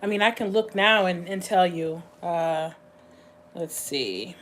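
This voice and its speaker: Woman livestream host, slightly hoarse, easygoing rambling talk at home. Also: lowish voice, slight background noise. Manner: rambling, chatty, thinks aloud with frequent pauses. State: slightly hoarse, voice going scratchy. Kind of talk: casual livestream chat.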